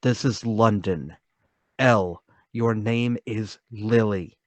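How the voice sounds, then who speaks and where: A man performing as a haunted, timid man pours out a confession in radio-drama style. His low voice is halting and intense, pleading to be heard, and it sits dry with little room around it. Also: darkish tone, no echo. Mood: brooding. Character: timid, troubled lonely man confessing to murder, trembling. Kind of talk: dramatic radio-play table read.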